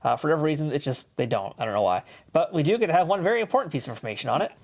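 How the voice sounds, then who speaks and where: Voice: mid-range voice, lively intonation, very fast. A reviewer chatting casually and in detail as co-host of an unscripted product review.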